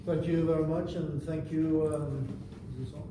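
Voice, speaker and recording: A man who grew up in Bangladesh, a party leader giving a formal, earnest conference speech with measured pauses. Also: fairly high pitch, flat delivery. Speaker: invited speaker, political party leader. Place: large conference room. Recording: echoey room, distant mic, noisy.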